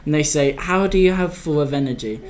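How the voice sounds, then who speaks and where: A man's voice: fairly high pitch, brisk, a crowd in the background. A young man answering interview questions casually, hesitant, with many fillers and restarts. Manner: hesitant, many fillers and restarts, animated when telling stories. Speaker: guest.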